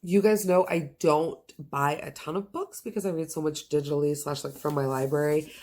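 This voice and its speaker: A woman's voice: low voice, steady tone, fairly quick, darkish tone. A woman chatting casually to camera as a book reviewer, with a gushing, enthusiastic and emphatic manner. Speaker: book reviewer and video host.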